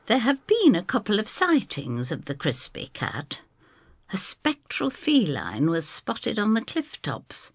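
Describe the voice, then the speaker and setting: A woman's voice: on the low side, slightly rough, exaggerated voice. A woman playing a motherly landlady who narrates her own story in a wry, homely, confiding manner. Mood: storytelling, intrigued. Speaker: first-person narrator. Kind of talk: dramatised scripted storytelling.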